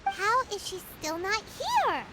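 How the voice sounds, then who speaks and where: A woman voicing a tiny, cheerful, childlike fairy companion in an anime video game, chirpy and excitable. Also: very high voice, slow, very noisy, exaggerated voice. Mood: puzzled. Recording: loud music under the voice.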